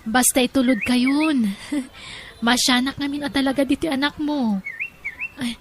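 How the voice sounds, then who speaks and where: High-pitched Ilocano drama heroine. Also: female voice, fairly even intonation, a little slow, slight background noise. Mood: friendly, warm. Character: tearful jilted young woman, kind to a child. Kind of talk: Ilocano radio-style audio drama.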